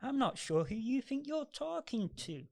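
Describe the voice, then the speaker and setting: A man playing a selfish, bitter older businessman with a gruff, sneering manner in an amateur radio play. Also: very high voice, very animated, normal speed, a little mellow, exaggerated voice. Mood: scornful.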